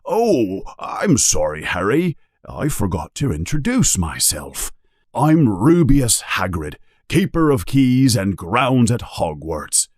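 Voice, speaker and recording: A big, gruff but kindly giant groundskeeper booms out slowly, as a man puts him on. His low voice lurches widely up and down. The storybook recording is dry and close. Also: huge pitch swings, darkish tone, no echo, exaggerated voice. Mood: friendly.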